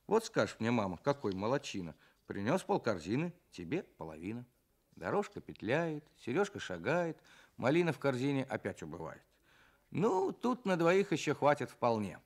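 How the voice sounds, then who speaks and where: A man recites verse and tells little stories for children. His delivery is theatrical and expressive, with lively swings of pitch and a gravelly edge to a mid-pitched voice. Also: normal speed. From Russia. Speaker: children's writer guest. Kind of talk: storytelling and reciting children's poems.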